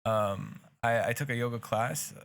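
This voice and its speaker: A man's voice: mid-range voice, measured pace, creaky, a bit bright. A young man with a Hebrew accent, a native Hebrew speaker, co-hosting a casual podcast and talking earnestly in long, rambling stretches full of restarts. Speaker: podcast co-host.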